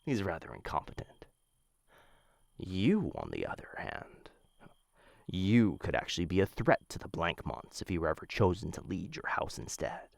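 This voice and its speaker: A man playing a menacing, infatuated assassin in a boyfriend ASMR roleplay, speaking low, hushed and intimate into a close microphone, teasing and often slipping into whispers. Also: wildly swooping pitch, moderate pace, no echo, full character voice. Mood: cool, explaining. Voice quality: low, gravelly.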